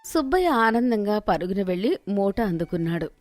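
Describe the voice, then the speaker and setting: A woman's voice: medium pitch, big pitch swings, fairly quick, darkish tone, faint music behind. A woman narrating a scripted moral story as the storyteller.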